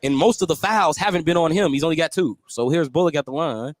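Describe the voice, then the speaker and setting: A male play-by-play commentator with a fairly high voice calls basketball live on radio. He narrates each movement in descriptive detail, with some gym noise in the background. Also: quick pace. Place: courtside broadcast spot in a school gym.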